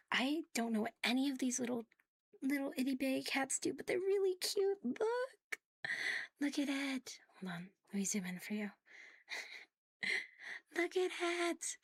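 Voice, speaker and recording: A woman chatting casually to viewers on an ASMR livestream, soft and breathy with a playful, cutesy manner, often dropping to a whisper close to the mic. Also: high voice, no echo. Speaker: ASMR live streamer hosting in a virtual world. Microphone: close, sensitive streaming microphone.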